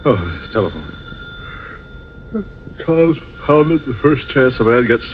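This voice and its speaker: An actor playing a grumbling, gruff desert pilot for hire in a 1940s style radio drama, often muttering to himself. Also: male voice, medium pitch, big pitch swings, slow. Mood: grumbling, sleepy. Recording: scratchy, muffled old radio recording.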